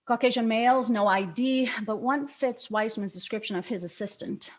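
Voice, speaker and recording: Woman playing defiant, high-voiced female police detective. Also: moderate pace, lightly acted. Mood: defensive. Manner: combative, outspoken. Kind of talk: screenplay table read, dramatic acting.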